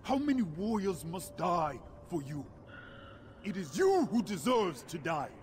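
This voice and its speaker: Scornful, high-pitched alien warrior leader. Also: male voice, big pitch swings, measured pace. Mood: scornful anger. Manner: commanding, formal, scornful. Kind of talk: video game character acting. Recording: clear noise behind the voice.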